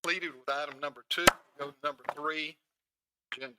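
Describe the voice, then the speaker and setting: A man's voice: a little high, steady tone, fairly quick. A man chairing a council meeting as mayor, formally reading items aloud. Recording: public meeting recording, faint noise.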